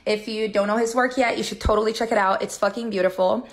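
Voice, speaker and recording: A woman's voice: mid-range voice, steady tone, quick pace. A young woman in her twenties from Brazil, speaking English with a Brazilian accent, eagerly recommending a book to her phone camera. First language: Portuguese. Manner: enthusiastic, earnest. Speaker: content creator. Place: indoor room. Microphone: phone camera at arm's length. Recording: echoey room.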